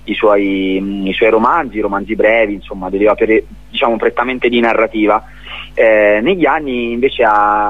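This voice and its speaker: A man from Italy giving a spontaneous radio interview as a theatre director, speaking haltingly and repeating words as he searches for phrasing. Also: fairly low pitch, no room sound, phone-quality sound.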